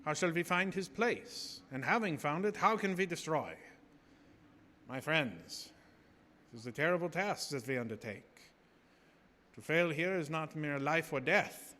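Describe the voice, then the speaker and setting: An actor playing a grave, commanding professor who hunts vampires, in solemn, oratorical radio drama style. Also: male voice, a little high, animated, bright, forceful tone. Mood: grave, urgent. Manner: solemn, emphatic, oratorical, measured. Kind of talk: theatrical live radio drama acting. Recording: live theater stage, reverberant hall, music behind.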